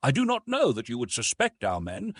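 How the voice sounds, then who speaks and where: A man's voice: mid-range voice, huge pitch swings, a little fast, husky, no echo, studio quality, pitched above the performer's own voice. A man voicing an earnest, haunted French naval officer in an audiobook, speaking in an intense, formal way. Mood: formal, reassuring. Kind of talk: dramatised audiobook reading.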